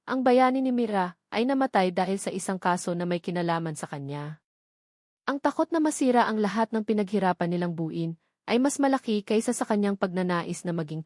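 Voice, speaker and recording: A woman's voice: medium pitch, dry recording, clean studio sound. A woman narrating a dramatic love story from a script.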